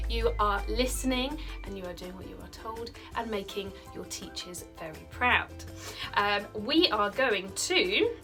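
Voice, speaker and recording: A woman with a slightly high voice speaks as a primary school teacher at story time. Her delivery is expressive and emphatic, pitched for young listeners. Faint music plays behind her. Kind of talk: expressive children's storybook reading.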